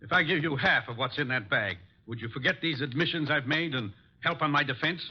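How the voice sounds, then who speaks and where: A man's voice: fairly high pitch, brisk, close to an everyday voice. Man playing a cornered killer, muffled radio sound. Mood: desperate bargaining. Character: cornered, desperate killer man, hard and bargaining. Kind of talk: 1940s-50s radio drama acting. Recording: dull, muffled old radio recording.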